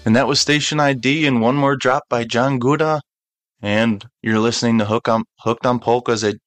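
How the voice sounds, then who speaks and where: A man's voice: medium pitch, a bit bright, clean tone, no echo. A man filling in as a radio host, talking casually with many restarts and ums. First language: English.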